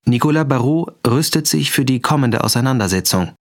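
A male announcer reads scripted scene headings for a radio play. His voice sits at an average pitch, with faint noise behind it. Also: expressive, fairly quick. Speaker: announcer of scenes, dates and places. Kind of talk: scripted radio drama narration.

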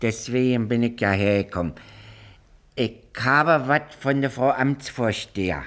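Actor as a slow, plodding village office servant. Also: male voice, mid-range voice, a bit bright, natural voice. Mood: sheepish. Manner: slow, hesitant, deferential. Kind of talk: naturalistic German radio play acting.

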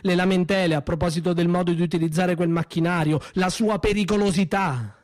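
A man delivers a bitter, accusing monologue in a slightly high voice, dropping into slow, heavy pauses. The sound is close and dry, with no room around it. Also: fairly even intonation, darkish tone, dry recording. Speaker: stage actor in a one-man monologue. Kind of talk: theatrical monologue performance. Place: small theatre stage.

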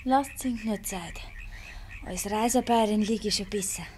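An actress playing a cunning, self-assured young Bavarian farm maid in dialect in a 1950s radio play. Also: female voice, medium pitch, measured pace, a little mellow, a little hiss or hum, natural voice. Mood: sleepy, self-satisfied.

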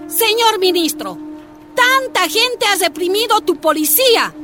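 An actress playing a defiant miners' leader in a radio drama. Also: female voice, very high voice, expressive, unhurried, music in the background. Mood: demanding. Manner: forceful, demanding, then anguished. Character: defiant Bolivian woman miners' leader, exiled.

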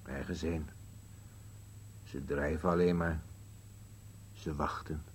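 An actor playing a grumpy, bickering old husband in a Dutch radio play, curt and dry, with a husky voice. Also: male voice, very deep voice, fairly even intonation, slow pace, slight background noise. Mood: flat, weary. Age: older.

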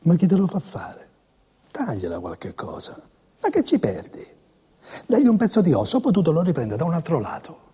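A resigned, cynical friend in a stage drama. His voice is very dark and breathy with animated pitch, confiding and pleading for caution. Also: moderate pace, heavily acted. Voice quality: husky, breathy. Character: resigned, cautious male friend urging compromise.

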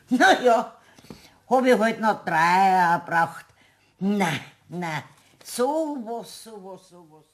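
A woman's voice: mid-range voice, animated, takes it slowly. An actress playing a talkative, scatterbrained housekeeper woman with a Bavarian accent in a radio play, colloquial and full of exclamations. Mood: flustered. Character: chatty, well-meaning, scatterbrained housekeeper woman. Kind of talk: German radio play acting.

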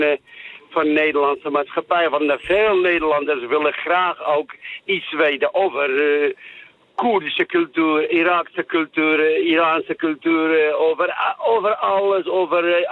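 A man calling a radio show to give his opinion, speaking haltingly as he searches for words. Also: fairly high pitch, fairly even intonation, dry recording. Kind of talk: casual phone-in opinion. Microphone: telephone. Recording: narrow tinny phone line.

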